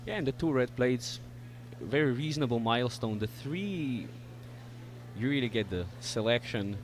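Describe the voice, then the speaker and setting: A man doing live sports co-commentary in a relaxed, wry way. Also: medium pitch, moderate pace, slight background noise.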